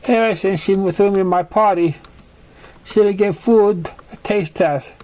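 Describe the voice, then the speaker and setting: A man voicing a young orphaned pony girl, wounded but plucky, telling her story haltingly in an amateur fan fiction reading. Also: high voice, dark tone. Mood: recounting, subdued.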